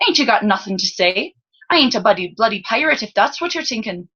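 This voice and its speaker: A woman voicing a cheeky, friendly lower-class boy with colloquial, teasing speech in an audiobook story. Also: big pitch swings, moderate pace, fairly bright tone, breathy edge, muffled recording, heavily acted. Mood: curious, cheeky. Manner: colloquial, teasing, clipped rustic dialect.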